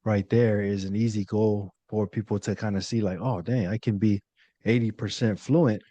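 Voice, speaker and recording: A man co-hosting a podcast, relaxed and agreeable, thinking out loud. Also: on the low side, moderate pace, no echo. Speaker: podcast co-host. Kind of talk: casual podcast chat.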